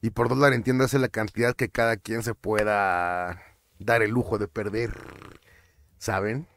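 A young Mexican man with a Mexican accent, talking casually on his finance vlog, animated and often stumbling over and repeating words. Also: fairly low pitch, measured pace. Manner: animated, chatty, stumbles and repeats words, slips in English terms. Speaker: finance vlogger. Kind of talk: casual commentary over screen captures.

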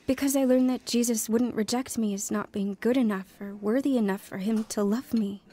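A woman's voice: a little high, moderate pace, warm tone, close to an everyday voice. Earnest, wounded young woman, played by an actress. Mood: hesitant, hurt. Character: earnest, wounded young woman telling her life story. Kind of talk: dramatized first-person testimony narration and dialogue.

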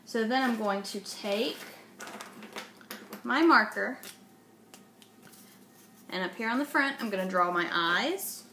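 A woman's voice: on the high side, takes it slowly, pauses often, bright, forceful tone. A young adult woman reading and explaining for children, often asking the listener questions. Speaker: storyteller and craft presenter. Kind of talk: children's read-aloud and simple instruction. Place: indoors, home dining room. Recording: roomy, noisy home phone recording.

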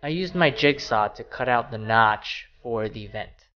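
A man in his thirties hosting a home DIY channel, explaining a woodworking build in a relaxed, casual way with frequent fillers. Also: mid-range voice, a little slow, muffled recording. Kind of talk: casual how-to narration. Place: small home laundry room, then voice-over over garage workshop footage. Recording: voice over clear background music. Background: background music.

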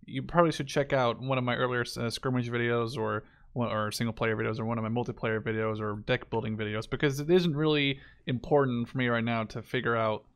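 A man's voice: medium pitch, fairly even intonation, fairly quick, few pauses, clean tone. A man in his twenties giving casual gameplay commentary, rambling, interrupting himself and swearing now and then. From Netherlands. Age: 20s. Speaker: gaming YouTuber.

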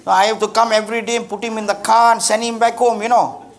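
An actor with a Malaysian accent playing a harried middle-aged bachelor lawyer placating his mother in a live stage comedy, halting and repetitive. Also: sits high, level tone, natural voice. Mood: defensive. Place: theatre stage with bar set. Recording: live theatre with faint audience. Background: audience laughter.

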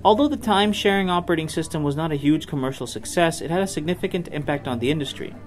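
A man's voice: fairly high pitch, fast talker. A man presenting a tech history series in scripted narration. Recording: music behind the voice. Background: background music.

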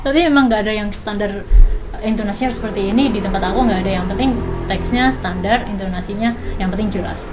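A woman's voice: medium pitch, quick pace, over loud music, rough recording. A woman in her thirties with a Javanese accent chatting as a guest in a home living room, laughing easily and speaking into a handheld mic. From East Java, Indonesia. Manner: chatty, laughs easily, switches into formal English announcing. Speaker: flight attendant guest. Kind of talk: casual chat.

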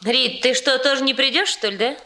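An actress playing a plain-spoken, eager young bride in a 1960s radio play. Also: female voice, high-pitched, fairly quick, crowd noise. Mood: worried. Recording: roomy, echoing old radio recording.

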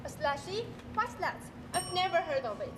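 A woman's voice: very high voice, sing-song, normal speed. A young woman in a student stage skit, reciting questioning lines. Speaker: student performer. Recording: distant, noisy hall recording.

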